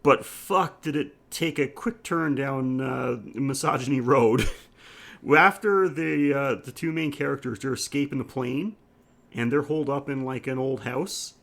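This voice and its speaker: A man's voice: mid-range voice, measured pace, gravelly, a bit bright. Casual male podcast host chatting. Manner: laughs easily while talking. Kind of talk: casual film review chat.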